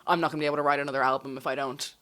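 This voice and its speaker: A woman's voice: low-pitched, level tone, racing, fairly bright tone. A woman talking as a podcast co-host in a casual, chatty, informal conversational style. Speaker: podcast co-host and ASMR channel presenter.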